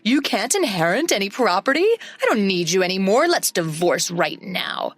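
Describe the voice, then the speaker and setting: A woman's voice: mid-range voice, big pitch swings, moderate pace, dry recording. A woman reading a greedy, pushy husband. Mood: scornful. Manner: pushy, money-obsessed demands. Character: greedy grasping husband, adult man.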